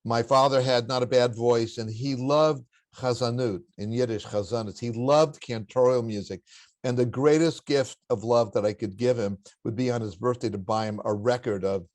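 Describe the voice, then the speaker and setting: An older man with a baritone voice, a guest in an interview, telling family stories in a reflective, anecdotal way with occasional chuckles. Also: steady tone, normal speed, no echo. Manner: warm anecdotal storytelling with chuckles. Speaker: interview guest, spiritual teacher.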